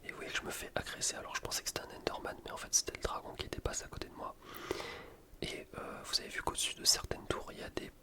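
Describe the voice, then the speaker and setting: A man commentating on gameplay in a breathy, unvoiced ASMR whisper, informal and explanatory. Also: very fast. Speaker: gaming video creator. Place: voice-over recorded separately from gameplay.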